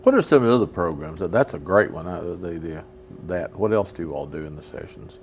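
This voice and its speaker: An American man hosting a local TV interview, talking in a folksy, halting way with many restarts. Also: sits low, lively intonation, a little hiss or hum. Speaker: host.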